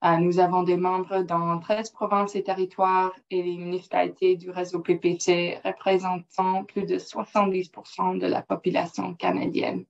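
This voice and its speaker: A woman whose first language is English presenting slides in French, careful and halting with non-native phrasing, over a computer mic on a video call. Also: fairly low pitch, monotone, creaky. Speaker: project officer presenting. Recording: dull lo-fi call audio.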